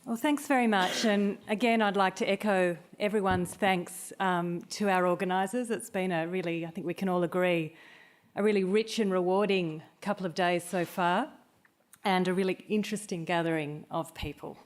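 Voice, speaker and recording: Lower-voiced woman giving a conference talk. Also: steady tone, moderate pace. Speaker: academic conference speaker. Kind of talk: prepared conference talk with narrative storytelling.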